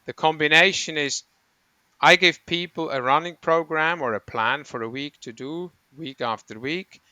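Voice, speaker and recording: Swiss man, bright crisp voice. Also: a little high, normal speed, no room sound. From Switzerland. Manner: rambling, self-correcting explanations with frequent ums. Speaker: guest, running coach. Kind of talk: conversational interview.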